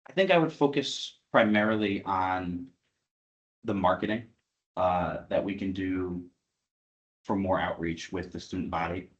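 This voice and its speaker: Young man, student candidate, very deep voice, slow and hesitant, frequent fillers. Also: dull audio. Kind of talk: candidate answer.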